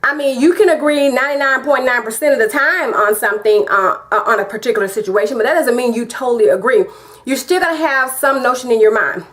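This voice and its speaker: A woman's voice: sits high, quick pace, fairly bright tone. Emphatic Southern American woman vlogger. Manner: emphatic and repetitive, talks straight to viewers. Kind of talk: casual opinion vlog.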